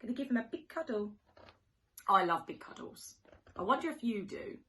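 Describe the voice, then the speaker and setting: Very animated woman reading to young children. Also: a little high, moderate pace. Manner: animated, questioning, dramatic gasps and hushed near-whispers. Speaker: primary school teacher reading a picture book to children. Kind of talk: expressive children's story reading, sing-song rhyme with asides to young listeners.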